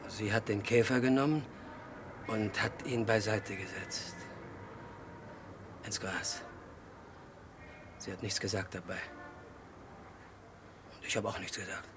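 An actor in a German radio crime play, playing an unhinged, childlike murderer who confesses in halting, disjointed sentences. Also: male voice, lowish voice, fairly even intonation, music in the background. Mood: subdued, wistful. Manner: halting, disjointed short sentences, swinging from hushed to agitated outbursts. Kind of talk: mid-century German radio crime drama acting.

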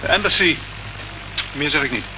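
A man's voice: on the high side, lively intonation, normal speed. An actor playing a guarded male police sergeant in a Dutch radio play, dry, grudging and cautioning. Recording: noisy, muffled old radio recording.

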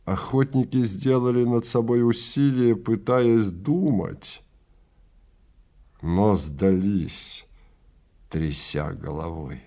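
A man narrating a story in a theatrical, deliberate manner with dramatic pauses. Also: lowish voice, dark tone.

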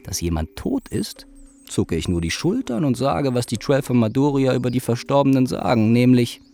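A male actor playing a mild, dreamy older father in a radio drama, calmly and earnestly explaining strange beliefs as plain fact. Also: medium pitch, normal speed. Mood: earnest, serene.

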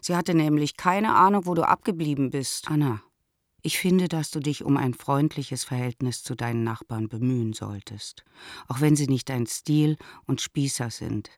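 An actress playing a practical, gently lecturing middle-aged mother reading out her letter in a radio play. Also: extremely low pitch, no room sound, clean studio sound. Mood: matter-of-fact, then admonishing. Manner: measured, matter-of-fact, gently lecturing.

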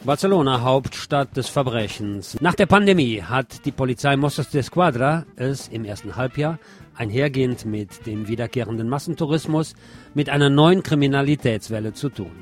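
A man's voice: mid-range voice, expressive, moderate pace. A man delivers a scripted news commentary in a mid-range voice. He speaks as a presenter, with guitar music playing faintly behind him.

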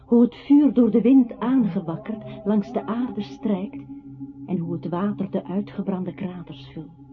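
An actress plays an ominous female voice in a radio drama, calm and grave at a middling pitch. The recording is old and thin like a phone line, with music underneath. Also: a little slow, lightly acted. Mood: grave narration. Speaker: radio drama narrator-character. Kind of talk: dramatic radio play narration.

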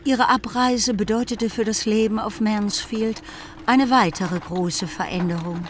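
A woman's voice: medium pitch, a little slow, a little hiss or hum. A woman reading scripted literary narration for a radio drama in a composed manner.

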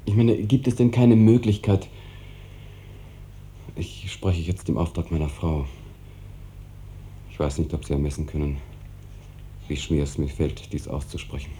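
An actor playing an anguished, insistent husband in a 1950s German radio drama, earnest and pressing, on an old noisy recording. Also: male voice, very deep voice, steady tone, a little slow, pauses often, warm tone. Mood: desperate.

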